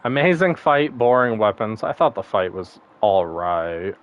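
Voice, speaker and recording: A man's voice: on the low side, big pitch swings, unhurried, phone-quality sound. A man streaming a game, chatting casually and rambling, laughing often and swearing now and then. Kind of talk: casual gaming stream chat.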